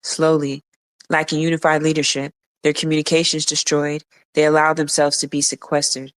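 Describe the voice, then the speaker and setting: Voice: mid-range voice, completely flat delivery, moderate pace, fairly bright tone, dry recording, rough recording. A podcast co-host reading nonfiction aloud, stumbling and restarting words now and then and dropping blunt offhand remarks.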